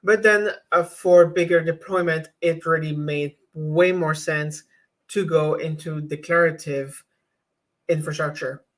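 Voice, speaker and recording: A woman whose first language is French talks in a relaxed vlog style. She gives hands-on advice to viewers in a candid way, often stopping and restarting her sentences. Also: sits low, a little slow. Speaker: YouTuber teaching cloud infrastructure. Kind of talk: casual vlog chat.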